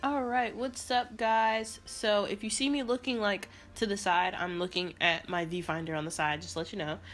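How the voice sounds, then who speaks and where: A young woman vlogger with a raspy voice and a sore throat, chatting casually through a makeup tutorial. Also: mid-range voice, moderate pace. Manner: chatty, rambling, relaxed. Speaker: beauty vlogger.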